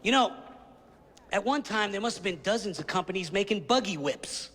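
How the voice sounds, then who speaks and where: A man's voice: high-pitched, lively intonation, moderate pace, a bit bright. An actor playing a blunt, folksy businessman giving a film speech to stockholders, with long reverb on the voice. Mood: blunt, rhetorical.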